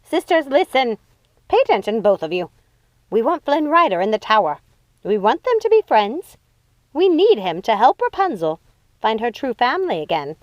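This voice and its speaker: A woman's voice: fairly high pitch, big pitch swings, normal speed, no room sound, muffled recording, voice pushed up. A woman voicing a scheming, cruel adult witch sister who bosses her sisters around and gloats. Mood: commanding. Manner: bossy, gloating, commanding.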